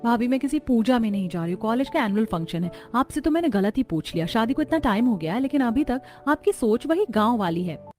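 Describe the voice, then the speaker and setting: A woman's voice: fairly high pitch, animated, quick pace, darkish tone, lightly acted. A woman voicing an impatient young college girl in a cartoon story. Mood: dismissive. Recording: music bed under voice.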